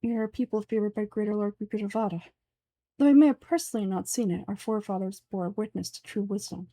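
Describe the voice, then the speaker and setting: A woman's voice: medium pitch, steady tone, fast talker, warm tone, dry recording, natural voice. A woman voicing a pompous, fanatical older male sage from a game, speaking formally and in declamatory fashion. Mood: disdainful. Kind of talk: game dialogue dub.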